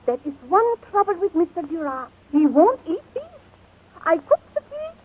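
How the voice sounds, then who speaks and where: An actress playing a blunt, grumpy but kind-hearted foreign cook who speaks clipped broken English in a 1940s radio comedy. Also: female voice, very high voice, sing-song, moderate pace. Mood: softened, friendly. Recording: tinny 1940s radio recording.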